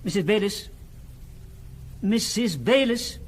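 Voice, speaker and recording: An actor playing a matter-of-fact British gentleman in a radio thriller, speaking in clipped, decisive, sober phrases. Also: high-pitched, animated, slow pace, slight background noise. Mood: grave, brisk. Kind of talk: British radio drama acting.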